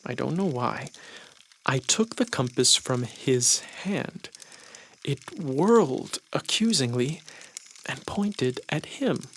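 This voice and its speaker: A man reading a satirical literary story as a narrator, in a measured, expressive storytelling manner. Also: mid-range voice, slight background noise. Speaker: audiobook narrator. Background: music.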